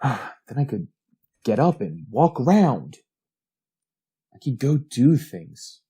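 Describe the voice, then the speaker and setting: Man acting a husky, wistful, obsessive inventor. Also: medium pitch, big pitch swings, takes it slowly, mellow, full character voice. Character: obsessive, wistful male inventor doting on his robot. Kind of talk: retro 1940s radio drama acting.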